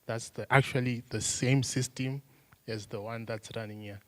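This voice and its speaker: A workshop participant with a mid-pitched male voice, explaining a point from his own work experience. He talks it through step by step, pausing and restarting now and then. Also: fairly even intonation, a bit bright. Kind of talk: answering in a workshop.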